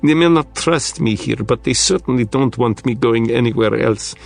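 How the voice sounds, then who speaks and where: A man's voice: mid-range voice, normal speed, gravelly, soft background music, close to an everyday voice. A man voicing a sly, blunt Russian strategist with a thick Russian accent and clipped, article-dropping speech. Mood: amused. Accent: thick Russian accent, put on.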